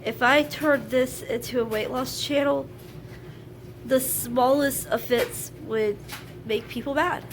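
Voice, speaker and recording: A woman's voice: sits high, fairly even intonation, slow, slight background noise. A woman who sounds nasal from a sinus infection, talking frankly and chattily to camera about her views at home. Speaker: vlogger. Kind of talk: casual talk to camera. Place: at home, laundry running.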